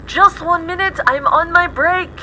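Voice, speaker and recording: A woman's voice: very high-pitched, measured pace, bright, forceful tone, heavily acted. A woman playing a caring, teasing and bossy female mechanic friend in an audio drama. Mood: firm, caring. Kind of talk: audio drama dialogue over a call. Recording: clear vehicle and street noise behind.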